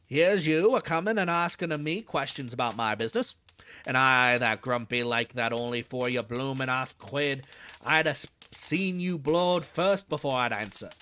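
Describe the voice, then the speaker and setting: A man doing a gruff old zookeeper. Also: mid-range voice, moderate pace, bright, forceful tone, a little hiss or hum, close to an everyday voice. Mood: grumbling. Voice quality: dropped h's, rough working-class dialect. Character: gruff old male Cockney zookeeper, grumbling.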